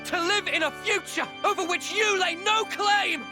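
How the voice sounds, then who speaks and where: An actor playing a defiant, earnest young hero in a video game, impassioned and declamatory, over music. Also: male voice, very high-pitched, normal speed. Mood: resolute, defiant. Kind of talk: dramatic video game acting. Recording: loud music behind the voice.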